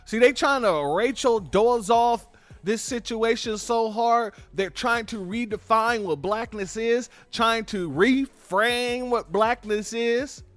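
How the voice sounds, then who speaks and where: A man's voice: extremely high pitch, takes it slowly, a bit bright, no echo. A man hosting a political commentary show, talking emphatically and informally and repeating his points to his viewers. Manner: emphatic, repetitive, informal, addresses viewers as family. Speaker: commentary channel host.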